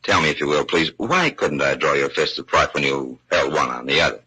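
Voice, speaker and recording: A man's voice: rumbling low, lively intonation, a little fast, bright, forceful tone, slightly rough, full character voice. An actor playing an eager, good-natured American man puzzled by magic, in earnest 1940s radio style on an old broadcast recording.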